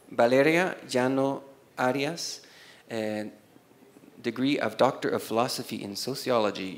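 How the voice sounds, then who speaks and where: A non-native man in his forties reads out award nominees at a slow, unhurried pace. He hesitates, fills pauses and restarts words as he stumbles over names. His voice is picked up by a desk microphone at a panel table in an auditorium. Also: medium pitch. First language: not Spanish. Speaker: award presenter. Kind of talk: reading award nominees at a conference. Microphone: desk microphone on a stand.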